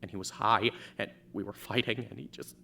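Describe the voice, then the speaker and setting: A man plays a shaken teenage boy who halts and stammers, tripping over his own words. The voice sits low and bright. It is a fan audio drama performance. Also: steady tone, creaky, slight background noise. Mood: broken, hushed. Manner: halting, stammering, repeats words.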